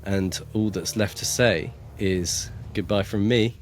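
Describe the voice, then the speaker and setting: Low-voiced man co-hosting a podcast. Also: slow, slight background noise. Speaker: podcast co-host. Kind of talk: casual book discussion podcast.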